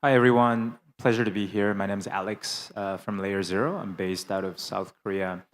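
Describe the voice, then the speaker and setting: A man's voice: fairly low pitch, steady tone, normal speed. A man introducing himself and his company on a conference panel, speaking fluently with frequent ums and uhs. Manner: fluent business talk with frequent fillers.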